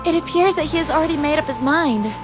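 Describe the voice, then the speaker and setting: A caring but resolute young heroine of a fantasy game, performed by a woman, talks with earnest drama. Her female voice sits very high, over faint music. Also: fast talker, lightly acted. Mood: curious. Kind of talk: dramatic video game voice acting.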